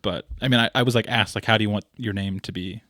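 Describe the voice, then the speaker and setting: A man co-hosting a podcast, telling a rambling story in casual chat with deadpan jokes. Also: fairly low pitch, fast talker, no room sound, clean studio sound. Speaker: podcast co-host.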